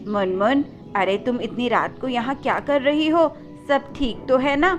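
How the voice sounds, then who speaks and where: A woman's voice: high voice, a little slow, faint music behind. A woman voicing a reluctant, grumpy aunt bird in a cartoon, with an excusing manner. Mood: evasive.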